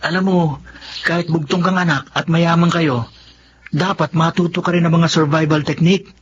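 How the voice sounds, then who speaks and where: A man's voice: a little high, fairly even intonation, normal speed, muffled recording, slight background noise, close to an everyday voice. An actor playing a poor, good-natured teenage boy in a Tagalog radio drama, teasing and brotherly with his best friend. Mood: scolding, brotherly.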